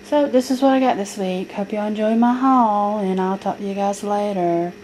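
A woman's voice: mid-range voice, steady tone, moderate pace, a little mellow, low-quality recording. A woman listing groceries from her table in a casual home video, with plenty of ums as she goes item by item. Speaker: vlogger showing a grocery haul. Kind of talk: casual haul video narration. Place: home kitchen table, indoors. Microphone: handheld camera filming a tabletop. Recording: home camera audio with clear noise.

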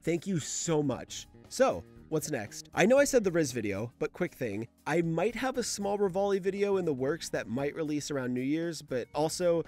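A fairly high-voiced man hosts a casual video essay over light background music. He speaks conversationally and gives his opinions in informal language, following a script. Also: very animated, normal speed, few pauses, darkish tone. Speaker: host of a manga analysis channel.

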